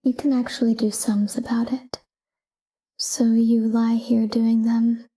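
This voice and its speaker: A woman softly guiding a sleep meditation in a calm, whispery, breathy voice, speaking in short, unhurried phrases with pauses. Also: a little high. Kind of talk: soft guided sleep meditation, whispered talk-down.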